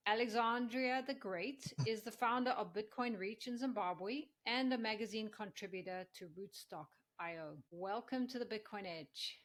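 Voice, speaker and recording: A woman's voice: mid-range voice, normal speed, few pauses, low-quality recording. A woman hosting a podcast, reading an upbeat introduction and asking questions. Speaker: podcast host. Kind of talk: interview hosting.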